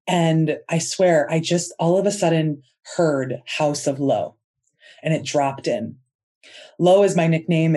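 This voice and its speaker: Earnest woman hosting an inspirational podcast. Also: deep voice. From United States. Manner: earnest, soft-spoken and heartfelt, drawn-out emphasis. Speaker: podcast host.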